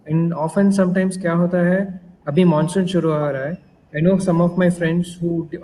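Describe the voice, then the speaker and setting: Fairly high-voiced man. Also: darkish tone, big-room sound, slight background noise, rough recording. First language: Kannada. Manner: thoughtful, explanatory, searches for words. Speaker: panelist. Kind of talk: virtual panel discussion. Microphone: video call.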